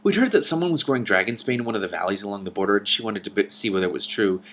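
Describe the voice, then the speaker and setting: Guy doing a storybook young woman, kinda worried, blunt n matter of fact, lowish voice, bit of noise. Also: animated, very fast, fairly bright tone. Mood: worried, explanatory. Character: worried, frank young woman, dragon king's aide.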